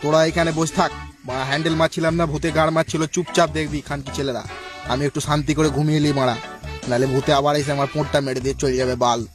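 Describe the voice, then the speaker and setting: A man plays an irate male cartoon schoolteacher, ranting fast in a fairly high voice. He is crude and curses constantly, with music behind him. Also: fairly even intonation, natural voice. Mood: exasperated. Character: irate foul-mouthed male schoolteacher scolding his pupils. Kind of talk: comic cartoon parody dubbing.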